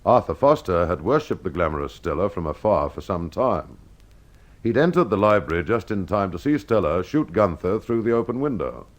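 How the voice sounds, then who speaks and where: A man narrating a scripted old-time radio mystery as its host, with dramatic, measured storytelling. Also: low voice, big pitch swings. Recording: dull lo-fi old radio sound.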